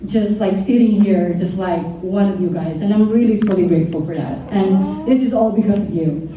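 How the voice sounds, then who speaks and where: A Filipino woman from the Philippines gives a rambling, grateful speech full of fillers. Her voice is mellow and sits at a middle pitch. She is picked up from a distance in a very echoey room with faint noise behind her. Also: moderate pace. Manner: rambling, many fillers, stumbling English. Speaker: modeling agency owner giving a speech. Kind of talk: heartfelt speech at a party table.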